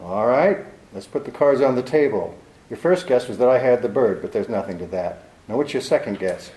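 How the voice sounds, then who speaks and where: A hard-boiled private eye in an old-time radio play, as a man acts him out. He speaks dry and curt, with a lively, swinging pitch. The sound is roomy and echoey. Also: fairly quick, close to an everyday voice. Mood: sardonic. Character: wry, tough male private detective. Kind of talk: amateur 1940s-style radio drama acting.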